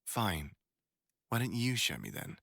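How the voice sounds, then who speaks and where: A man's voice: on the low side, wildly swooping pitch, unhurried, creaky, bright, forceful tone, no room sound, studio quality, exaggerated voice. A young man playing a teasing, foul-mouthed college student crush in a casual boyfriend roleplay ASMR. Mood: playful teasing. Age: young adult. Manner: casual, slangy, teasing, sweary.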